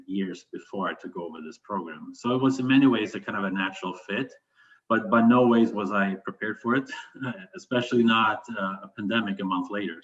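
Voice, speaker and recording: Low-voiced man, hesitant, in dull audio. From Sweden. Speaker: interview guest, business continuity manager. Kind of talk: conversational interview answers. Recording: dull, lo-fi sound.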